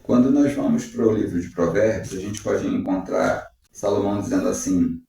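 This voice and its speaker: Mellow man in an echoey room. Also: fairly low pitch, steady tone, vocal fry. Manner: measured, uses rhetorical questions. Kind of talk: reflective devotional talk. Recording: very echoey room, distant mic.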